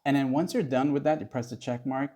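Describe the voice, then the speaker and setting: A man narrating a casual, step-by-step software tutorial as its host. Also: medium pitch, fairly even intonation, fast talker.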